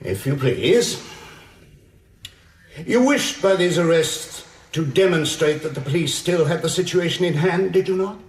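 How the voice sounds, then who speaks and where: An actor playing a supercilious defence barrister, formally and precisely pressing a witness in a courtroom radio drama. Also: male voice, a little high, expressive, unhurried. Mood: insistent. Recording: echoey courtroom acoustic.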